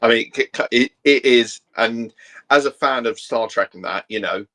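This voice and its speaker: A man's voice: medium pitch, moderate pace, bright, forceful tone. A man co-hosting a casual chat, speaking in a hesitant, rambling way. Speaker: co-host.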